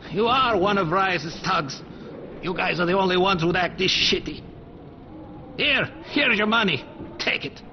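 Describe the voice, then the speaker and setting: A man's voice: sits high, lively intonation, unhurried, long pauses. An actor playing an old male villager, resentful and cowering, with an abrasive, nervy delivery in a video game. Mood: frightened, resentful. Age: older. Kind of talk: cinematic video game acting. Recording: muffled game capture, faint noise.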